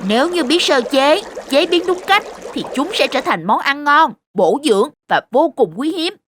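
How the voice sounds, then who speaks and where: High, lively cartoon explainer. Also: female voice, normal speed, background noise. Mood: helpful. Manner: instructive, expressive. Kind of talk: children's cartoon dubbing, scripted explanation.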